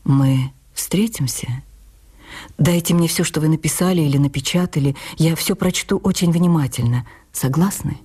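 A woman reading the words of a gentle, attentive male writer in a soft, earnest, intimate manner. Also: fairly high pitch, normal speed, breathy edge, voice pushed down. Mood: warm, eager.

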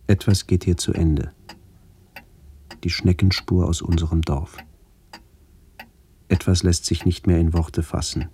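An actor playing a melancholy man recalling his family and lost village in a measured, reflective radio play narration. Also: deep voice, flat delivery, dark tone, slight background noise. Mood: elegiac, reflective.

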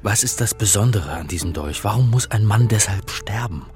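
Actor as a low-voiced, bewildered, hesitant academic. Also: male voice, lively intonation, moderate pace, a little mellow, soft background music, lightly acted. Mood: baffled, probing. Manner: hesitant, stammering, questioning. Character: bewildered, anxious male academic caught in intrigue. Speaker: actor in a radio crime drama. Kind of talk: radio drama acting.

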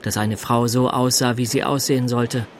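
Radio play lead: understated, smitten systems consultant, played by a man, medium pitch, faint noise behind. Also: little pitch movement, normal speed, natural voice. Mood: amazed. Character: sober male systems consultant, smitten city single. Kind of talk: German radio play acting.

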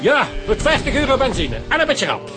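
Rude young flemish punk, yelling super high, aggressive, radio drama, music behind. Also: male voice, wildly swooping pitch, brisk, exaggerated voice. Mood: aggressive, impatient. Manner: shouting, insolent. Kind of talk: heated radio drama acting.

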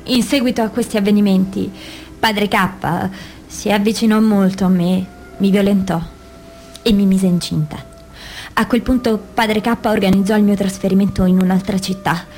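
An actress playing a female abuse survivor, giving grave testimony in measured phrases with deliberate pauses, as in radio theatre. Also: mid-range voice, fairly even intonation, darkish tone, faint music behind, natural voice. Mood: grave, sorrowful.

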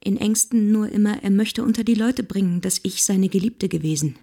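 A woman playing a proud, tormented young actress in a literary radio drama, confessing in formal language with a husky voice. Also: medium pitch, a little fast, dark tone. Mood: anguished, defiant.

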